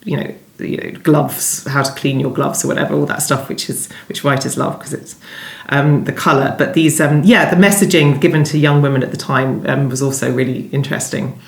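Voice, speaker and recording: A woman novelist being interviewed, talking conversationally in a thoughtful, hesitant and halting way. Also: rumbling low, fairly even intonation, gravelly. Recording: echoey room sound.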